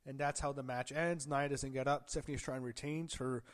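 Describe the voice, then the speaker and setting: A man fighting off a cold gives a loose, rambling recap in a voice that sounds a little off. He corrects himself often and fills gaps with "uh". He stops now and then to gasp or clear his throat. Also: medium pitch, fairly even intonation, fairly quick. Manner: rambling, frequent self-corrections and fillers; gasping and clearing throat at times. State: under the weather, voice a bit off. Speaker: wrestling show reviewer. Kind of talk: casual show recap.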